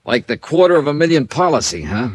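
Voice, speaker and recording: Wry, lively American radio detective. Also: male voice, a little high, fast, slightly rough, no echo. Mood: wry, breezy. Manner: wry, breezy, clipped. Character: wry easygoing freelance insurance investigator, confident and quick-witted. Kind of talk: 1950s detective radio drama acting and narration.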